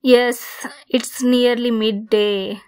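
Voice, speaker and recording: Slow, higher-voiced woman in ASMR roleplay. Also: fairly even intonation, a little mellow, smooth. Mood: calm, caring. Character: possessive female centaur, softly caring, dryly teasing. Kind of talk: ASMR roleplay, scripted monologue.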